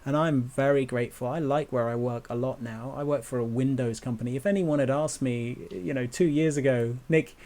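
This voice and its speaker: A man with an average pitch and a gravelly, fry-tinged voice hosts a casual podcast conversation. He often repeats words and restarts his sentences as he thinks aloud. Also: fairly quick, hardly any pauses, darkish tone. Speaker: podcast host.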